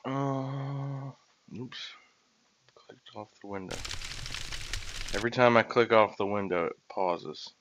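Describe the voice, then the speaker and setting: A man's voice: medium pitch, slow pace, long pauses, highs cut off, slight background noise. A man casually chatting with his chat while live-streaming a game at home, rambling and chuckling. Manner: relaxed, rambling, chuckling asides.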